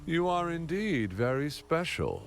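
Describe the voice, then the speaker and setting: Theatrical gloating villain, swooping delivery. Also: male voice, on the high side, huge pitch swings, creaky, slight background noise, full character voice. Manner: taunting, drawn-out, theatrical delivery. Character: gloating, theatrical male villain taunting his foes. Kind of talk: video game voice acting.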